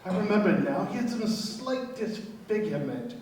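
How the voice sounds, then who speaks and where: A man playing an agitated, indignant diamond merchant in a radio play, excitable and formal in his foreign-sounding phrasing. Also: high-pitched, normal speed, crowd noise. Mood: recalling. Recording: very echoey, distant from microphone.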